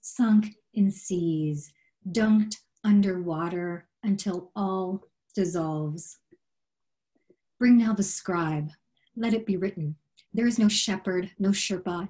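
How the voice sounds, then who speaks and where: A middle-aged woman giving a poetry reading in a measured, deliberate, solemn delivery over a video call. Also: fairly low pitch, warm tone. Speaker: poet reading her work. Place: small room at home, on a video call. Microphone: computer microphone on a video call.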